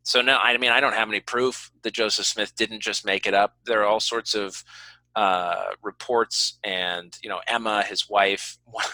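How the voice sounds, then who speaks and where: A man's voice: fairly low pitch, steady tone, normal speed, bright, forceful tone, dry recording, rough recording. A man hosting a podcast, talking casually in an earnest, explanatory way and qualifying his points as he goes. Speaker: podcast host. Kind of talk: casual conversational interview talk.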